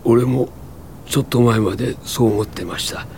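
An actor playing a sickly, earnest tuberculosis patient in a radio drama, plain-spoken, halting and short of breath. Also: male voice, mid-range voice, vocal fry, a little mellow, a little hiss or hum, natural voice. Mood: anguished. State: ill, coughing, breathless.

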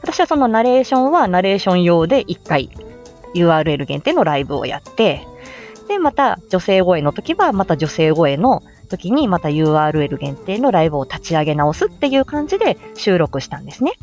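A woman's voice: on the low side, big pitch swings, brisk, a little mellow, faint music behind. A woman giving a casual, polite explanation on a livestream, chatty and encouraging, speaking into a smartphone. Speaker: amateur voice actor explaining how to make voice samples. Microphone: smartphone, close.

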